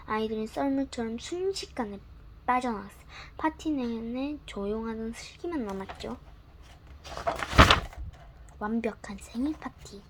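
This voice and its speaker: A soft-spoken young woman reading a bedtime storybook aloud in a gentle, lilting voice. She sits fairly high in pitch and often sinks to a near whisper. A faint background hum runs under the recording.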